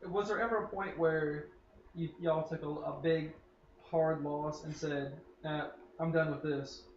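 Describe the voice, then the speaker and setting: A man's voice: a little high, steady tone, measured pace, a little hiss or hum. A man hosting a casual interview, asking his questions in a laid-back, hesitant way. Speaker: podcast host. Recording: roomy, dull sound.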